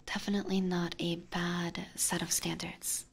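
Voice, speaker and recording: A woman livestream host chatting casually in a soft, breathy ASMR style, often whispering, close to a binaural microphone. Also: fairly low pitch, flat delivery, unhurried. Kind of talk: ASMR casual chat with viewers. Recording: noticeable background noise, close microphone.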